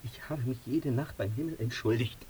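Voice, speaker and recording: An actor playing a tormented, guilt-ridden air traffic controller in a radio drama, speaking in a halting, pleading way. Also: male voice, medium pitch, warm tone, close to an everyday voice, poor audio. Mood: haunted.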